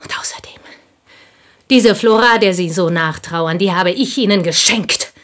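An actress playing a plain, bitter woman in a radio play, confiding old envy in an intense, intimate way that often slips into breathy whispers. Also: fairly low pitch, measured pace, fairly bright tone. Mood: stung, defiant. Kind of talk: German radio play dialogue, intimate monologue.

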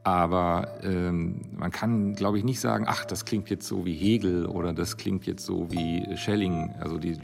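A man reflecting spontaneously as a literary commentator, halting and repeating phrases as he searches for words. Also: low voice, steady tone, gravelly, a little mellow, faint music behind.

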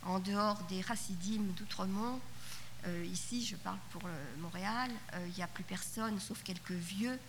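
A middle-aged woman whose first language is Yiddish, reflecting thoughtfully at a conference roundtable, halting often and restarting her sentences. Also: medium pitch. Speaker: panelist at a literature conference roundtable. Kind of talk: spoken reflection at a conference panel. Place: conference hall. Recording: 1990s cassette tape in a hall, faint hiss.

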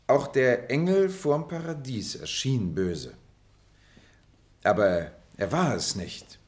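An actor playing an eloquent, outspoken middle-aged former pupil who broods in a reflective, declamatory manner. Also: male voice, medium pitch, animated, slow. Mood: grave, insistent. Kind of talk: German literary drama acting.

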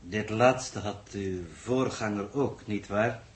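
A man's voice: lowish voice, slow pace. A man acting a formal, dryly pointed mission coordinator in a 1960s radio drama, with a Netherlands Dutch accent. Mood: dry, sceptical. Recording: old radio recording, faint noise.

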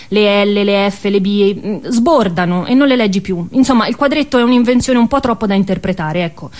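A woman with a Tuscan accent tells a first-person story as an actress in a stage monologue. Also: mid-range voice.

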